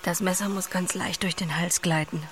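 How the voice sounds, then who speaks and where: A woman's voice: fairly low pitch, fairly even intonation, normal speed, close to an everyday voice. An actress playing a young farm woman who butchers pigs, blunt and plain-spoken but tender, in a naturalistic audio drama. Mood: matter-of-fact, earnest.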